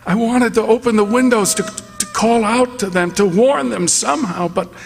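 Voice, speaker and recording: An actor playing a haunted lighthouse keeper who tells his ordeal in a radio play, with gravelly dramatic storytelling that drops to hushed tones. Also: sits high, moderate pace, in a large hall, soft background music. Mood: anguished. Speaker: narrator and lead actor. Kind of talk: live radio theatre.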